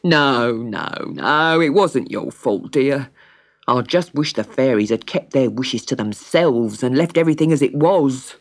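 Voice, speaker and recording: A woman's voice: extremely low pitch, animated, measured pace, full character voice. A woman voicing Cedric, a sour, grumpy husband who growls and complains. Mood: remorseful.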